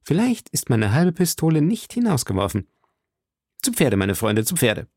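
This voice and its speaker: A man voicing a bold, impetuous young gentleman musketeer in an audiobook. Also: mid-range voice, wildly swooping pitch, moderate pace, no room sound, clean studio sound, pitched above the performer's own voice. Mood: brisk. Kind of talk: audiobook character voice.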